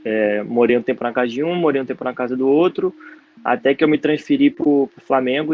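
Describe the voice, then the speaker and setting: Young Brazilian man, mid-20s, easygoing guest, dull call audio. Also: mid-range voice. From Brazil, Brasília. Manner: easygoing, slangy. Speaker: guest footballer. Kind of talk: casual interview chat. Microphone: video call.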